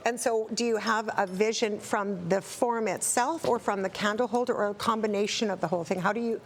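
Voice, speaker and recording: A woman's voice: mid-range voice, steady tone, brisk, slight background noise. A woman hosting a conversational TV interview, putting questions to a guest. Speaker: TV show host interviewing a guest.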